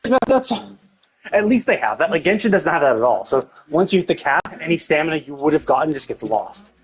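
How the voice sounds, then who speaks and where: A man livestreaming a game with casual, rambling commentary, thinking out loud, cutting himself off and swearing freely. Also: a little high, big pitch swings, brisk, faint music behind, low-quality recording. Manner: casually profane. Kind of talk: casual gameplay stream commentary.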